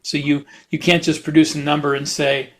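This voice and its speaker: A man co-hosting a podcast in casual chat, talking haltingly and often restarting his phrases. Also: fairly high pitch, little pitch movement. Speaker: podcast co-host and fiction writer.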